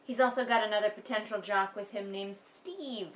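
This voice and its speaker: A woman's voice: mid-range voice, normal speed, a bit bright, roomy sound. A woman giving casual, mocking commentary as she reads along with a book, sarcastic and exasperated. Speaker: host of a book read-along.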